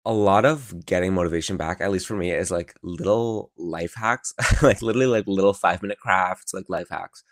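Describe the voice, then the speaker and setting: Young male podcast host, croaky morning voice, deep and dry, chatty and encouraging. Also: brisk, dry recording. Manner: rambling, filler-heavy, encouraging. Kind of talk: casual solo podcast chat. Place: his own room at home.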